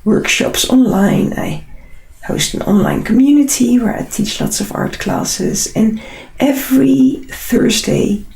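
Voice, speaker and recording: A woman with a Dutch accent and a husky, unwell voice, chatting casually and unhurriedly as she hosts a live drawing tutorial. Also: a little high, slow, a little mellow, reverberant, rough recording. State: unwell, struggling hoarse voice. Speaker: artist hosting a live drawing session.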